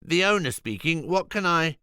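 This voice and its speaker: A defiant vegan shopkeeper, as a man puts him on, preachy and sarcastic. The voice is pitched high, swings widely and sounds bright. The sound is dry. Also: lively intonation, moderate pace, clean tone, no room sound. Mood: indignant, preachy. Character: defiant, self-righteous vegan shop owner, man.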